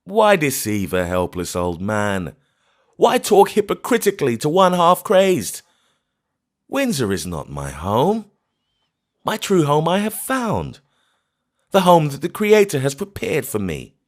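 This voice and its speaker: A man voicing a grief-maddened old astronomer, who rages in bitter, anguished outcries, pitched far above his own voice. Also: wildly swooping pitch, measured pace.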